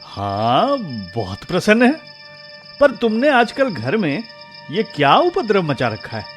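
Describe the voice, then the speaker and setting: An actor in a radio drama playing an educated, principled young husband, earnest and emphatic. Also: male voice, high-pitched, wildly swooping pitch, takes it slowly, faint music behind, exaggerated voice. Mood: puzzled, coaxing. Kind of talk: Hindi radio drama acting.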